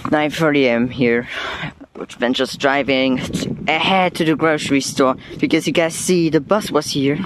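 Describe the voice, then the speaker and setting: Young man, German accent, unhurried rambling vlogger; phone recording on a noisy street. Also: fairly high pitch. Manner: rambling, self-deprecating, swears casually. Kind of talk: casual walking vlog. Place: outdoors on streets and on a bus. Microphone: phone held while walking.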